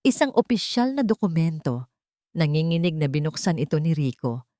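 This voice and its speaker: A woman's voice: low-pitched, very animated, moderate pace, warm tone, no echo, studio quality. A woman reading scripted dramatic narration as the storyteller of an emotional fiction tale.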